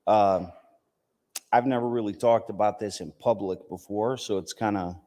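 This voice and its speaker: A man's voice: on the low side, fairly even intonation, normal speed, mellow. An American man giving an informal religious talk in a conversational way, often checking in with his listeners. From United States, Southern family from West Virginia, partly raised in Palestine. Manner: conversational, punctuated with "you know" and "right?" checks. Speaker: Islamic teacher giving a talk. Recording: reverberant hall.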